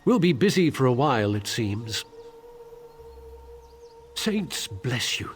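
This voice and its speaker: A man's voice: medium pitch, very animated, slow, a little hiss or hum. An actor playing a weary, worried male priest in a video game, speaking in an earnest, grave way.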